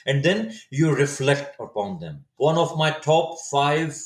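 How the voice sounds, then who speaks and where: A man in his fifties from Saudi Arabia, a native Urdu speaker, telling his story as a podcast guest, thoughtful and often pausing to restart his words. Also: fairly high pitch, expressive. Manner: reflective, searching for words with frequent restarts. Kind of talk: casual interview storytelling. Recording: echoey room sound.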